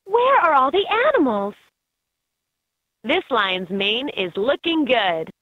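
A woman's voice: fairly high pitch, very animated, takes it slowly, dry recording, exaggerated voice. A woman voicing an upbeat guide in a children's educational animal game, in scripted narration with slightly dull game audio.

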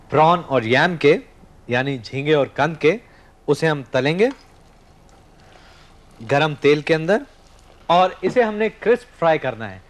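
A man in his thirties hosting a studio cooking show, explaining each step while he cooks and talks to the camera. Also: a little high. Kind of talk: scripted cooking demonstration. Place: TV studio kitchen set.

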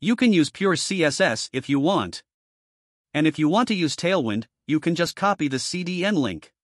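Male tutorial narrator, slightly high-pitched, scripted instructional read, very dry sound. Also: lively intonation, normal speed, no echo. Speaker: programming tutorial presenter.

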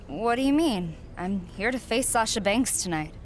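A determined rookie wrestler, voiced by a woman answers with calm confidence and a dry edge in a game cutscene. Her voice sits at an ordinary mid pitch, with faint background noise. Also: moderate pace, lightly acted. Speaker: player character.

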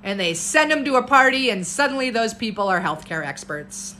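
Loud, bright-voiced woman on a live stream. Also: fairly high pitch, a little fast. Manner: animated, jumps between topics and viewer comments, upbeat. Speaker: herbalist hosting a live stream. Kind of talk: casual live-stream chat answering comments. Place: home room with bookshelves, indoors. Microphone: phone camera at arm's length.

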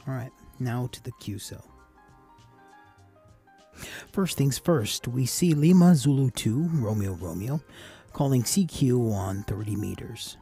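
A man giving a casual, measured instructional voice-over as an amateur radio operator hosting a tutorial. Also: medium pitch, lively intonation, warm tone, breathy edge, faint music behind.

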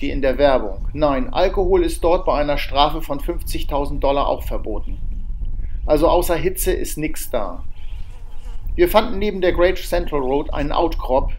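A man narrating his outback road trip as a voice-over, matter-of-fact with wry asides. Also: on the high side. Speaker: travel vlogger narrating. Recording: faint background noise.

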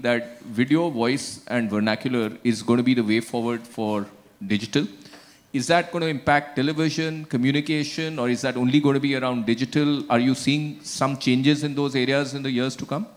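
A middle-aged man moderating a conference panel, asking long measured questions with some hesitations into a handheld mic. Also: medium pitch. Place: large conference hall on stage.

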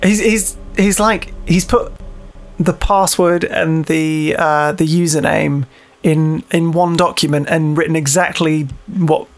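A man with a slightly high voice and plenty of vocal fry plays a game and commentates casually. He mutters to himself, swears freely and reads on-screen text aloud in a jokey way. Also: fairly even intonation, slow pace. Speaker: gaming video host playing a horror game.

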